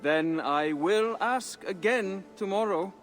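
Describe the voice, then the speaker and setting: A man playing a modest, devoted disciple of a secret brotherhood in a video game, speaking politely, gently and formally. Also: high voice, slow pace. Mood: earnest, humble. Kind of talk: dramatic video game acting. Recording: light music behind the voice.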